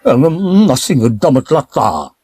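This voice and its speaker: A man's voice: medium pitch, very animated, measured pace, a little mellow, breathy edge, no echo. A man with a Filipino accent playing an evasive, stammering older man who is a secret lover in an audio drama. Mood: nervous, evasive.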